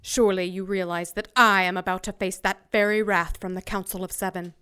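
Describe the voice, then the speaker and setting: A woman playing an ancient, proud warrior archangel in an audio drama, speaking in a grave, formal, measured way. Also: mid-range voice, steady tone, bright, forceful tone, clean tone, close to an everyday voice. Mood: cold, guarded.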